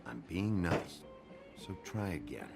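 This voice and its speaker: An actor playing a gruff, weary sheriff in a video game, terse and blunt with a gravelly voice. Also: male voice, low-pitched, big pitch swings, slow, over music, full character voice. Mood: impatient, pressing. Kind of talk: cinematic video game voice acting.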